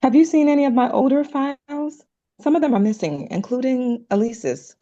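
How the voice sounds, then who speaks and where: Actress as concerned female doctor, low pitch, dark warm tone, measured, firm, dramatic table read, lo-fi sound. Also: natural voice. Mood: worried, resolute. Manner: measured, earnest.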